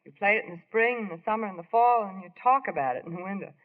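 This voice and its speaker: An actress playing a devoted, tender young wife with a gentle, earnest manner, in 1940s radio style. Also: female voice, medium pitch, very fast, lightly acted. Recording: muffled old radio recording.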